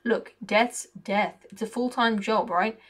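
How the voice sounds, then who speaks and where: A woman voicing a cynical, chatty male raven who grumbles in rough, colloquial speech. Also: mid-range voice, slow, fairly bright tone. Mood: sardonic.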